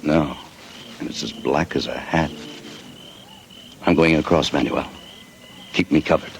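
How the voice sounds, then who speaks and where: An actor playing a tough, wisecracking American adventurer who also narrates, in clipped hard-boiled 1940s radio drama style. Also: male voice, rumbling low, measured pace, gravelly, heavily acted. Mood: tense. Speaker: narrator and lead. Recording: hissy 1940s radio broadcast.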